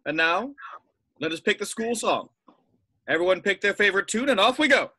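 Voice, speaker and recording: A man playing a formal old wizard headmaster, giving measured announcements in a script read. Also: high-pitched, animated, pushed and bright, no echo, exaggerated voice. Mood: jovial. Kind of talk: table read of a film script.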